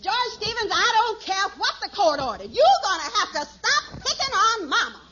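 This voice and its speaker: An actress playing an indignant, scolding wife in a 1940s radio comedy. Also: female voice, very high-pitched, big pitch swings, moderate pace. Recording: noisy, dull old radio recording.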